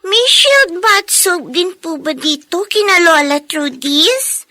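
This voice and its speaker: A woman's voice: very high voice, lively intonation, takes it slowly, pushed and bright, no room sound, exaggerated voice. A woman voicing a sweet, obedient little child in a Filipino radio drama. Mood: sweet, curious.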